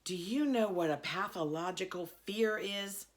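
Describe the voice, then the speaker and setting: A woman voicing a bewildered preacher father with a deliberate, explanatory way of talking in a storybook. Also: mid-range voice, natural voice. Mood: calm, explaining. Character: bewildered, measured preacher father of a young girl.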